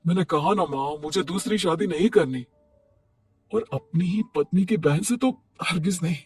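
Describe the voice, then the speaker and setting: A man's voice: a little high, moderate pace, breathy edge, far from the mic. A man voicing a devoted young father, an earnest and stubborn husband in a cartoon moral story. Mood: firm, reluctant.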